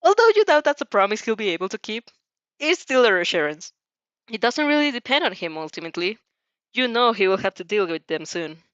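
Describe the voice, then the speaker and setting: A woman's voice: mid-range voice, big pitch swings, a little fast, fairly bright tone, no echo. Woman reading fan fiction, slightly muffled. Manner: stumbles and rereads phrases. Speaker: fan fiction reader and reactor. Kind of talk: story narration with casual reaction asides.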